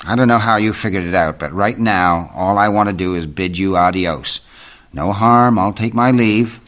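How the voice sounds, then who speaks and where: An actor playing an affable, plain-spoken writer and sidekick in an old-time radio mystery, with an expressive delivery. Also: male voice, low-pitched, moderate pace. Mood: resigned, earnest. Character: affable male writer with an outlaw past, sidekick.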